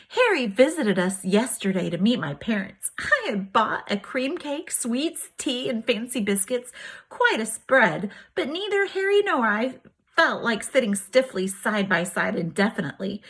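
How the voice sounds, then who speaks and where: Animated, higher-voiced woman reading aloud. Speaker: teacher reading aloud to special education students. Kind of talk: expressive read-aloud of a diary.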